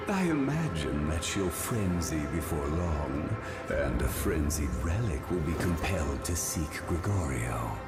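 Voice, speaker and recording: A man's voice: extremely low pitch, very animated, measured pace, few pauses, reverberant, loud music behind the voice, full character voice. An actor playing a villainous scientist in a video game cutscene, mocking and falsely polite, savoring his words with theatrical relish. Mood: gloating.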